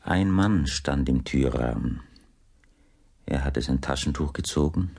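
A man's voice: extremely low pitch, a little slow, vocal fry, darkish tone, a little hiss or hum. A man narrating a scripted audiobook drama as its storyteller.

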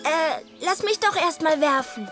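High-voiced little boy badger. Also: lively intonation, a little slow, over music. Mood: nervous. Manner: childlike, hesitant, eager. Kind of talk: children's audio drama acting.

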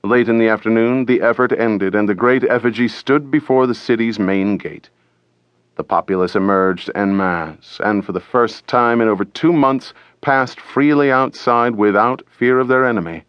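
A man reading an audiobook as dramatic scripted narration, deliberate and theatrical, close to the microphone. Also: medium pitch, clean tone, no room sound, highs cut off.

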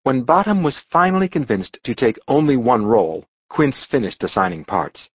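A man narrating a storybook audiobook in a measured, expressive storytelling manner. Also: medium pitch, slightly rough, no room sound, dull audio.

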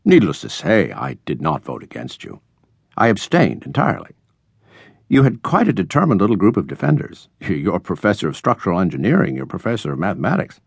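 A man voicing a stuffy, formal older male college dean who speaks in measured, disapproving phrases for a storybook. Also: sits low, huge pitch swings, gravelly, husky, no room sound, heavily acted. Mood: formal, regretful.

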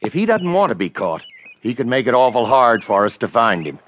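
An actor playing a folksy, excitable cowboy in a western radio play, with a drawling American Western accent. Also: male voice, mid-range voice, brisk. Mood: intrigued. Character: bald, folksy, excitable old-hand cowboy.

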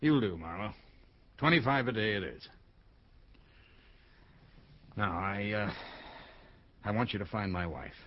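An actor playing a troubled, blunt American businessman and wronged husband in a radio drama, speaking haltingly. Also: on the low side, lo-fi sound, a little hiss or hum, close to an everyday voice. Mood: gruff, halting. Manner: halting, hesitant, with bursts of bluntness.

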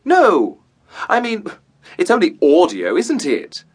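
Pompous am-dram director: high-pitched, widely swinging delivery, grand theatrical enunciation, comic radio drama, some background noise. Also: male voice, wildly swooping pitch, takes it slowly, husky, full character voice. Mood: breezily dismissive. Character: pompous, self-important amateur theatre director, a man.

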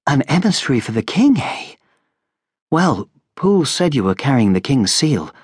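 A man's voice: mid-range voice, very animated, moderate pace, husky, no room sound, clean studio sound, pitched above the performer's own voice. A man voicing a kindly, gracious noblewoman in an audiobook, with a soothing and polite manner. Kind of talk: audiobook character voice.

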